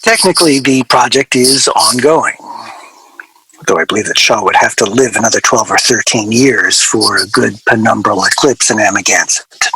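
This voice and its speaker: An actor playing a wry sound recordist, telling his story in a measured, articulate, deadpan way for a radio drama. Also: fairly low pitch, creaky, husky, no room sound, heavily acted, poor audio. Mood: wry, reflective. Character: wry male sound recordist recalling an obsessive artist's failed project. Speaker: interviewee.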